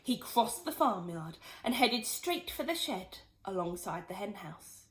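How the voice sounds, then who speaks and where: A woman teacher reading a children's book aloud in an expressive, animated way. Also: mid-range voice, a little slow. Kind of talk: storybook read-aloud.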